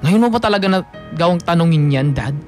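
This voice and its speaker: An actor playing a defiant, sulky teenage student son in a radio drama, with a Filipino accent. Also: male voice, on the high side, expressive, fast talker, darkish tone, faint music behind, natural voice. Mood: irritated, dismissive. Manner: snappy, petulant. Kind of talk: radio drama dialogue, Taglish.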